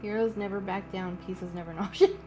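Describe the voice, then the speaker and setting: A woman's voice: mid-range voice, fairly even intonation, fast talker. A woman streaming a game, giving casual live reaction commentary.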